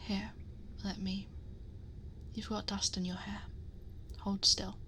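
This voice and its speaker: A woman playing a protective, possessive dragon girl in an ASMR roleplay, speaking softly and breathily, often in whispers, in an intimate, gently scolding and fussing way. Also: mid-range voice, level tone, slow, a little hiss or hum. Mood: tender, fond. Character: possessive, worried, protective female dragon girl guarding her hoard. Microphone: close binaural microphone.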